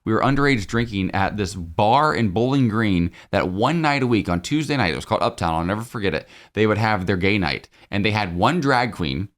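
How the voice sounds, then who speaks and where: A man's voice: lowish voice, animated, fairly quick, few pauses, fairly bright tone. An American man co-hosting a podcast, chatting casually and telling stories. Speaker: podcast co-host. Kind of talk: casual chat, storytelling.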